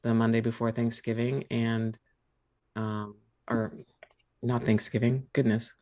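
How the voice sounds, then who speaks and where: Thoughtful californian, law background, telling family stories in a relaxed chat. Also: mid-range voice, unhurried. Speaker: lawyer, podcast guest. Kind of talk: casual conversation.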